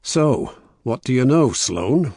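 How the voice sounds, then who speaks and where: A man's voice: medium pitch, big pitch swings, slow pace, creaky, warm tone, no room sound, clean studio sound, pitched above the performer's own voice, heavily acted. A man voicing a terse, commanding detective in a mystery audiobook. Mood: brisk, commanding. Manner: terse, authoritative. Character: brisk commanding male police detective.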